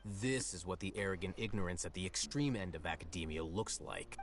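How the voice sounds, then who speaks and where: An actor playing an aloof, condescending scholar in a video game, speaking in a dry, controlled way. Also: male voice, sits low, a little fast, soft background music. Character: cool, aloof male scholar interrogating captives.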